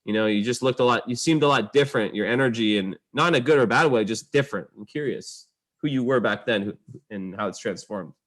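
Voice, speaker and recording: A man's voice: medium pitch, a little fast, a bit bright. A young man co-hosting a podcast, who grew up in the Pacific Northwest, chatting in a friendly way with frequent restarts. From Pacific Northwest, United States. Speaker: podcast co-host. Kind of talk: casual podcast chat.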